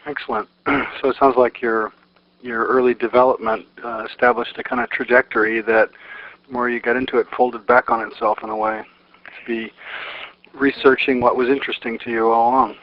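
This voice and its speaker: A man's voice: mid-range voice, flat delivery, brisk. A man interviewing someone conversationally, musing in fragments and reflecting their answers back to them. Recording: dull lo-fi interview audio.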